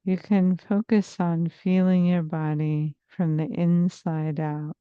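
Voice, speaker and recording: A woman therapist leading a guided meditation in a soothing, measured, hypnotic manner with long pauses, on slightly muffled audio. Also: on the low side, flat delivery, smooth, no room sound. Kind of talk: slow guided meditation narration.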